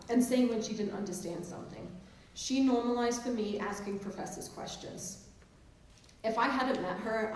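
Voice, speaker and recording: A woman in her forties with a mixed English and New Jersey accent and a touch of Maryland Eastern Shore. She is a professor giving a conversational, self-deprecating keynote speech. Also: medium pitch. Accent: mixed English and New Jersey, with some Maryland Eastern Shore. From England, raised in New Jersey. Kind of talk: speech to an audience. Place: large auditorium stage. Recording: very echoey hall, distant mic.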